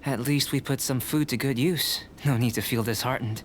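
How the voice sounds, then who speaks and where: A man voicing a calm, courteous alchemist researcher in a video game, speaking in a measured, formal, articulate way. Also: mid-range voice, a little hiss or hum, lightly acted.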